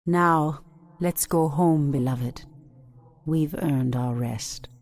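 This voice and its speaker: A woman playing a gentle, noble night elf priestess in a fantasy game, speaking in a measured way. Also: deep voice, no room sound. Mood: tender. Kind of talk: dramatic fantasy game acting.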